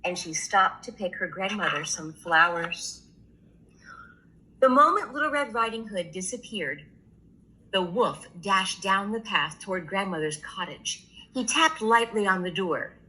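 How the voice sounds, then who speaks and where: A woman's voice: medium pitch, expressive, a little slow, sharp tone, poor audio. A woman reading a children's story aloud as a storyteller.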